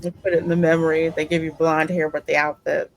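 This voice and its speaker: A woman doing casual, playful gameplay commentary and roleplaying the heroine's lines in an expressive, colloquial way. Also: low voice, fast talker, poor audio. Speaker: gaming streamer voicing the player heroine. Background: faint music.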